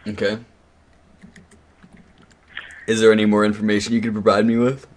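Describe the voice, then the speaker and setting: A man playing a stern, accusing attorney on a prank call, questioning in an official but halting way that repeats words. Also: lowish voice, monotone, slight background noise, close to an everyday voice, low-quality recording. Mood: probing. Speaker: prank caller. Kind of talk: interrogation on a prank phone call.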